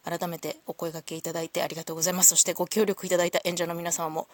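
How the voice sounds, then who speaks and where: A woman's voice: fairly low pitch, level tone, rapid-fire, no room sound. A woman hosting a solo audio show, talking casually to listeners in a polite, reflective, explanatory way.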